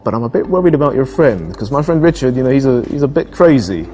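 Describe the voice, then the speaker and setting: A man's voice: mid-range voice, sing-song, fast, mellow, lightly acted. A man acting an earnest suitor in a dating game's live-action scene, with music behind him. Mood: friendly, hesitant. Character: earnest man chatting up a woman, then rejected. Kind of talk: acted dating-game cutscene dialogue.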